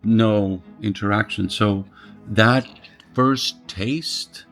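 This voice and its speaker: A man's voice: lowish voice, drawn-out words, fairly bright tone, faint music behind. A middle-aged man from Poland, interviewing in a thoughtful, searching way and often restarting his phrases. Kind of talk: reflective conversation.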